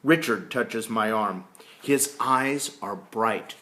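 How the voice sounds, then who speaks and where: Slow-paced man reading a story aloud. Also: fairly low pitch, sharp tone. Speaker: reader reading a book chapter aloud. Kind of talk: read-aloud storytelling of a historical novel.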